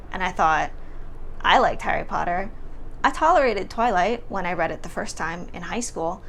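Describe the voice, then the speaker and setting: A woman giving a casual, expressive book review to camera in an informal, emphatic storytelling way. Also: medium pitch, a little hiss or hum.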